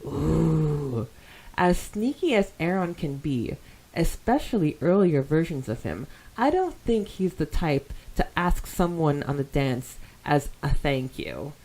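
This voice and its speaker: A woman with a fairly low voice co-hosts a fan podcast. She talks informally, moving between reading listener comments and reacting to them in loose, conversational asides. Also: animated, slow, a little mellow, slightly rough, poor audio. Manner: informal and conversational, reacting aloud to what is read. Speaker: podcast co-host.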